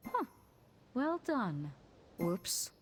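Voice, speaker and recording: A regal video game goddess of spring and the underworld. She speaks slowly and theatrically, with expressive swings in pitch, polite on the surface and slyly menacing underneath. There is clear noise behind the voice. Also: heavily acted. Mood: courteous, playful. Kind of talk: video game character voice lines.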